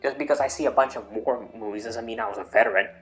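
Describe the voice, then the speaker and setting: A man's voice: medium pitch, fast talker, poor audio. Slangy male gamer, music behind. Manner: slangy, teasing, repeats phrases for emphasis. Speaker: gaming streamer. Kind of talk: casual playthrough commentary reacting to game dialogue. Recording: commentary mixed with game music.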